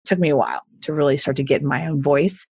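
A woman's voice: sits low, quick pace, vocal fry, darkish tone, husky, no room sound, studio quality. A woman in her forties from Texas with a neutral accent, telling her story in a casual interview and laughing often. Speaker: guest entrepreneur.

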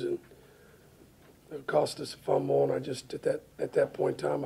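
A middle-aged man with a raspy, gravelly voice, a football head coach answering questions at a post-game press conference. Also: on the high side, mellow. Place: indoor press room, seated before a banner.

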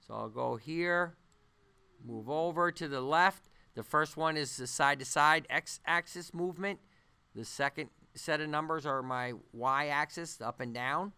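A man teaches software in an unscripted walkthrough. His voice is clear and slightly high, with a bright edge. He pauses often and thinks aloud as he goes. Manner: halting, thinking aloud as he works. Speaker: animation tutorial instructor. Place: voice-over on a screen-recording tutorial.

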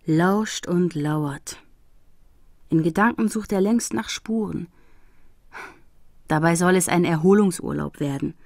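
An actress playing a composed, observant female prosecutor, polite and quietly concerned, in a German radio crime drama. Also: fairly low pitch, slow pace, creaky, long pauses, heavily acted. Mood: reflective, concerned.

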